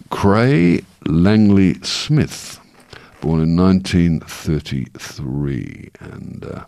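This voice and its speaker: A man reading out death notices, slowly and plainly, stumbling over names and correcting himself as he goes. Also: rumbling low, creaky, mellow, no room sound. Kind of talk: reading obituary notices aloud.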